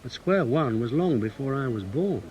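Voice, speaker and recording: Plain-spoken spy played by a man, mid-pitched. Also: expressive, moderate pace, darkish tone, lo-fi sound, slight background noise, natural voice. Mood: reflective, uneasy. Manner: plain-spoken, questioning, reflective. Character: probing, matter-of-fact British intelligence officer and narrator. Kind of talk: radio drama acting, first-person narration.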